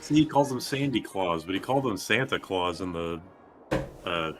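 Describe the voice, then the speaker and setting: Deep voiced guy casually streaming a game, chatting away with music playing behind. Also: lively intonation, moderate pace. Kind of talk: casual game commentary chat.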